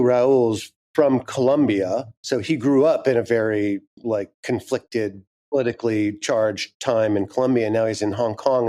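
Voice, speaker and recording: A man, the creator of an audio drama, talking reflectively and animatedly in an interview about his writing. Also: lowish voice. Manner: reflective, animated, thinks aloud. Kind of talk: interview conversation.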